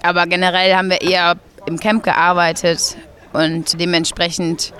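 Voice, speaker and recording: A young man in his twenties, an architecture student, answering interview questions outdoors in a relaxed, friendly way. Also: high voice, moderate pace, a faint crowd. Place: outdoors in a town square.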